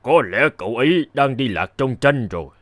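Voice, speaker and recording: An actor playing a solemn, commanding elder in an animated fairy tale, speaking in a measured, authoritative way. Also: male voice, mid-range voice, no room sound, clean studio sound, natural voice. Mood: calm. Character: solemn male envoy and hermit, commanding elder.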